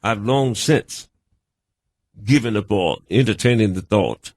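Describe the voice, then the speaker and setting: Older American man, halting and folksy, lowish voice. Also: darkish tone, dry recording. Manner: halting, wordy, folksy turns of phrase. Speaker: guest being interviewed. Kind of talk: conversational, reminiscing interview answers.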